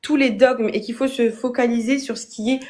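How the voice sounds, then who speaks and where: A woman's voice: on the high side, fairly even intonation, fairly quick, darkish tone. A young woman from France, calling into a live stream and arguing her view with many restarts and fillers. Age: young adult. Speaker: guest caller. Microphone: phone app call.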